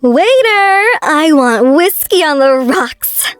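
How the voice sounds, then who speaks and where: A woman's voice: sits high, lively intonation, takes it slowly, no echo, faint music behind. A woman playing a young female boss in a manga dub, a tipsy, pouty ex-girlfriend with a whiny, bossy manner. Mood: drunk, demanding. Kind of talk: manga dub character acting.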